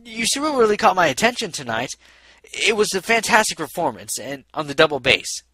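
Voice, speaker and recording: A man voicing a boastful, smooth-talking male pony, a self-important musician and inventor, in a storybook reading. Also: high voice, sing-song, fairly quick, sharp tone, pitched above the performer's own voice, full character voice. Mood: pleading.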